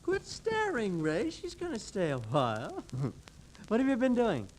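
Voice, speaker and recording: High-voiced, cocky rich kid. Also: male voice, wildly swooping pitch, measured pace, exaggerated voice. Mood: jaunty. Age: young adult. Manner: jaunty, boyish. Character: breezy, cocky young male rich gangster's son. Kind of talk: 1940s radio drama acting. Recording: noisy old 1940s radio recording.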